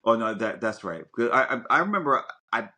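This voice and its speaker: A man's voice: mid-range voice, sing-song, fairly quick, bright, forceful tone. A middle-aged man co-hosting a podcast, talking casually and animatedly, often repeating words in bursts as he works out a thought. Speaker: podcast co-host. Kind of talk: casual conversational commentary.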